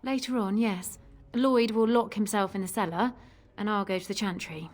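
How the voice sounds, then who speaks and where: An actress playing a jaded, wry tavern barmaid in a fantasy video game. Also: female voice, medium pitch, steady tone, normal speed, close to an everyday voice. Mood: bitter, weary.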